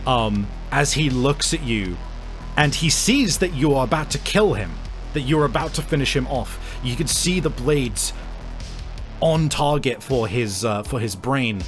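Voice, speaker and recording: A man running a tabletop roleplaying game as game master, narrating in a casual, excitable, sweary way. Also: mid-range voice, unhurried. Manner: casual, profane, excitable storytelling. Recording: music playing behind the voice. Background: background music.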